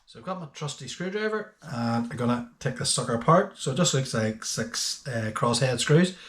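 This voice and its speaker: A man's voice: medium pitch, animated, moderate pace. A man talking casually and a little hesitantly through a hands-on product review, thinking aloud as he goes. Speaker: host of a boating gadget channel.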